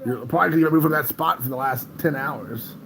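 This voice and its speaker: Laid-back man with a gravelly voice. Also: mid-range voice, a little fast, bright, forceful tone, low-quality recording. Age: 30s. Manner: rambling, laid-back, chuckling often. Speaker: livestream host. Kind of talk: casual live-stream chat with viewers. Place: home. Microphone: phone.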